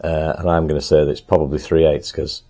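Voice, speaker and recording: A man talking casually to the camera in his home workshop, thinking aloud as he works, a little hoarse. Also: rumbling low, level tone, fast, warm tone. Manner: chatty, thinks aloud while working, frequent ums. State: slightly hoarse, clearing throat. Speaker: motorcycle restorer and channel host.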